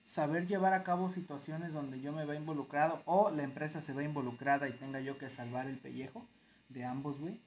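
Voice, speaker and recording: A man's voice: a little high, fairly even intonation, fairly quick, a little hiss or hum. A man with a Mexican accent co-hosting a casual podcast chat, telling work stories in heavy slang. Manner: slangy, storytelling, acts out haggling voices. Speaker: podcast co-host.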